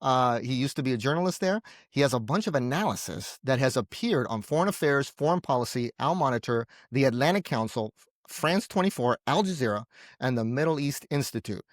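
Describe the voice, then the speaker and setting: A man's voice: medium pitch, lively intonation, fairly quick, no echo, clean studio sound. A man hosting an interview show, speaking conversationally in rambling, wordy phrasing as he addresses his guest and audience.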